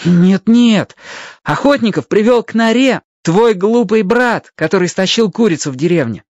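A performer playing an eager, friendly young boy in a radio play, excitedly yelping like a fox between phrases. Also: mid-range voice, normal speed, no room sound, pitched above the performer's own voice, lightly acted. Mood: earnest.

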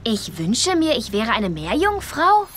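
Competitive young female surfer, high and lively, hesitant edge, animated adventure. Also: measured pace. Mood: uneasy. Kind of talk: animated film dialogue in an audio play.

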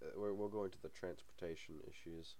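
A man's voice: low voice, steady tone, quick pace, dark tone. A young man hosting a gaming channel, talking casually over a game. He has a cold and the sniffles, and he rambles with many ums and small chuckles. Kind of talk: casual let's-play commentary.

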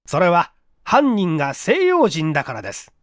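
A man voicing a courteous, earnest police detective chief explaining things in a measured, polite way in an audiobook. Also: sits high, huge pitch swings, no room sound, studio quality, voice pushed down. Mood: reasoning. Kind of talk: dramatic audiobook dialogue.

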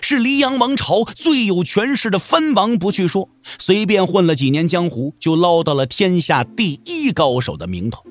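A man delivering dramatic, expressive scripted narration for a wuxia audio drama. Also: sits high, moderate pace, hardly any pauses. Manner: expressive storytelling.

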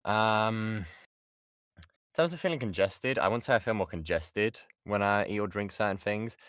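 A man's voice: fairly low pitch, moderate pace, a bit bright, no room sound. A British man giving an informal lesson as a voice actor, chatty and jokey, often interrupting himself, speaking close to the mic on an online call. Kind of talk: informal lesson with audience questions.

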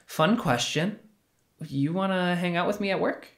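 A man playing an awkward, sheepish young retail worker in an audio drama, with a halting, casual, wry delivery. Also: high-pitched, fairly bright tone. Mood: awkward, pleading. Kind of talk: naturalistic scripted audio drama dialogue.

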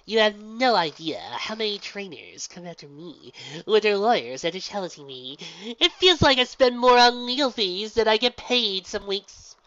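A woman's voice: medium pitch, sing-song, moderate pace, few pauses, a bit bright, pitched above the performer's own voice. A woman doing a wry, wary pony gym leader. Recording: dull, dry lo-fi recording.

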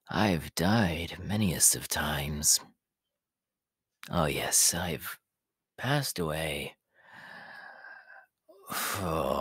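A sly, power-hungry immortal villain for an ASMR roleplay. His voice is extremely husky and breathy, slipping into a hissing whisper. He draws his words out very slowly with menacing politeness, close and dry on the mic. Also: a bass voice, a bit bright, dry recording, exaggerated voice. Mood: boastful. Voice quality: raspy, breathy, half-whispered hiss. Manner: slow, drawn-out, menacingly polite. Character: sinister, power-hungry immortal male body-stealer, sly and scheming. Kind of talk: ASMR character roleplay monologue.

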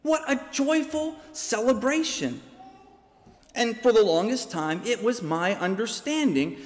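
A young American man, a pastor, preaching a sermon from a pulpit with measured, deliberate pauses. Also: extremely high pitch, very animated. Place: large church sanctuary, preaching from a pulpit. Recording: long church reverb.

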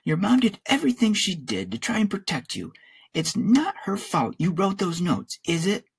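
Man playing a stern, husky exasperated father. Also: medium pitch, very animated, moderate pace, pitched above the performer's own voice, full character voice. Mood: reproving. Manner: firm, reproving.

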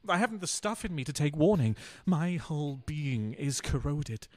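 A man's voice: on the high side, big pitch swings, normal speed. A man acting a rueful, self-mocking, weak-willed husband in a radio play, with a theatrical, hesitant delivery. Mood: rueful, gloomy.

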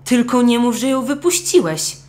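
A woman's voice: a little high, animated, normal speed, darkish tone, pitched above the performer's own voice, natural voice. A woman voicing a greedy, hot-tempered fisherman's wife in a storybook, scolding and demanding emphatically. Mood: angry.

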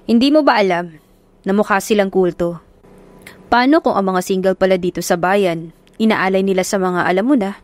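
A woman voicing a calm young man who teases in an unhurried way. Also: medium pitch, dry recording, close to an everyday voice. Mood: teasing.

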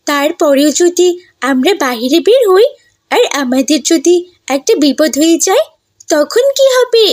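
A woman's voice: sits high, a little mellow, no echo, studio quality. A woman voicing an obedient, cautious little duckling in a cartoon fairy tale, earnest and childlike. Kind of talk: animated fairy-tale voice acting.

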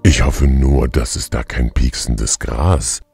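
A man's voice: rumbling low, slow, creaky, warm tone, faint music behind, full character voice. A man playing a sardonic, menacing god of the underworld in an audio drama, with dry sarcasm. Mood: dry, sarcastic. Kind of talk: German audio drama acting.

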